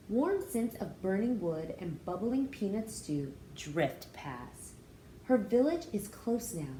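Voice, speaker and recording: A woman's voice: medium pitch, dark tone. A woman in her thirties reading a picture book aloud as a teacher, expressively and with explanations for young children. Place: small room indoors. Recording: roomy indoor echo, faint background noise.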